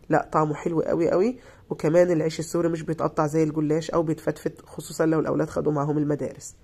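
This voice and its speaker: A woman with an Egyptian Arabic accent, hoarse from being ill, giving a chatty, reassuring voice-over for a cooking tutorial. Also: sits low, mellow. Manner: chatty, reassuring, repeats herself. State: hoarse from illness. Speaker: cooking channel host.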